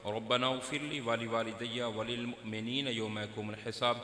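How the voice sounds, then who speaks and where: A man leading a closing supplication in Punjabi-accented Urdu, measured and devotional. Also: medium pitch, little pitch movement, fairly bright tone. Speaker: prayer leader. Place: outdoor religious gathering with loudspeakers. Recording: echoey hall with long reverb.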